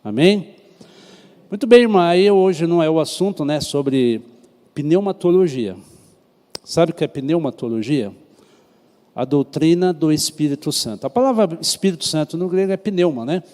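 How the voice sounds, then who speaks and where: A man's voice: fairly high pitch, lively intonation, normal speed, a little mellow. A man with a Brazilian Portuguese accent, a pastor teaching a Sunday school Bible class in a conversational way, often checking in with the class. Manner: conversational, asks the class questions. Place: church hall. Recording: echoey hall with long reverb.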